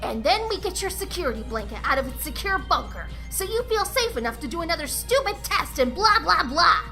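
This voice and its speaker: A woman's voice: very high-pitched, sing-song, brisk, sharp tone, faint music behind, heavily acted. A woman voicing a hot-headed young female tenrec fighter in a comic dub, cocky, snappy and sarcastic. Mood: aggressive, taunting.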